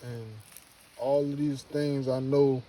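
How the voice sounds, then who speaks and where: Man in his thirties, casual vlogger, warm low-key tone, loose rambling delivery, faint noise behind. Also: little pitch movement, slow pace, poor audio. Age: 30s. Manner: rambling, repeats himself, slangy; earnest motivational pep talk. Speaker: homestead vlogger. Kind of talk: casual to-camera vlog.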